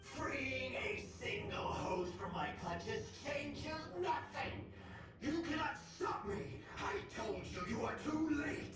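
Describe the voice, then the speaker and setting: Menacing game monster villain, grandiose and taunting, super echoey and far off, loud music behind. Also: high voice, lively intonation, slow pace. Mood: menacing, triumphant. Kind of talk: video game voice acting. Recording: heavy echo, distant, under loud music.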